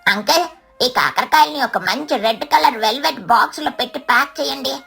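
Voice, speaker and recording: A woman's voice: sits high, fairly quick, gravelly, a bit bright, soft background music. A woman voicing a greedy, chubby young boy parrot in a children's cartoon story, indignant and boastful. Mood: instructing.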